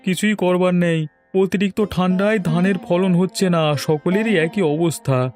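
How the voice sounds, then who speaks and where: A man's voice: high voice, moderate pace, a little mellow, soft background music, close to an everyday voice. A man voicing a resigned, respectful younger village farmer in a Bengali cartoon. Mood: concerned, resigned.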